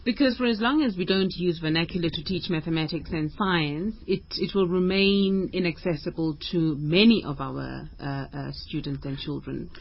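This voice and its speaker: A woman's voice: low voice, normal speed, creaky, hardly any pauses. A South African woman hosts a radio phone-in. She sums up points and hands over to guests in a presenter's style. The broadcast sound is a little dull. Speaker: radio interview host. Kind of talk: talk radio hosting.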